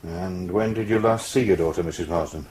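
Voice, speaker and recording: An actor playing a hesitant, placating English police sergeant who stammers a little in a radio drama. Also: male voice, deep voice, brisk, a little mellow, natural voice. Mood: hesitant, polite. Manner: stammering, hesitant, patiently reassuring.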